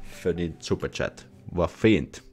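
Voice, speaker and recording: A man's voice: sits low, takes it slowly, a little mellow, soft background music. A young Finnish man with a Finnish accent hosting a casual live stream at home, reading viewer comments and chatting, often restarting his words and slipping into Swedish phrases. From Finland. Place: small indoor room at home. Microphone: headset with desk mic in front.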